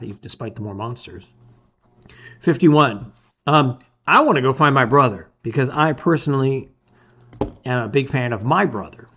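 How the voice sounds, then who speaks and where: A man's voice: mid-range voice, pushed and bright, muffled recording. A man reading a gamebook aloud as a dramatic story, with theatrical, emphatic phrasing.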